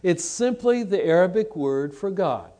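A man's voice: high voice, animated, measured pace, warm tone. A man who grew up in Lebanon presenting an informal religious seminar in a conversational, self-deprecating way. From Lebanon, born in Egypt to missionary parents. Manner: conversational, self-deprecating, addresses listeners with questions. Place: conference seminar room with audience.

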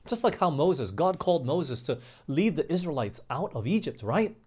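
A man preaching a sermon in an emphatic way, slowing down on key phrases and putting rhetorical questions to his listeners. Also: a little high, sing-song, darkish tone, husky. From grew up overseas in England, Australia and the US.

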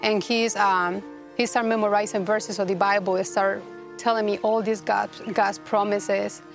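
A woman from Rica, a guest telling her story in a halting, earnest way with many restarts. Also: mid-range voice, fairly even intonation, music in the background. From Costa Rica. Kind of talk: personal testimony interview.

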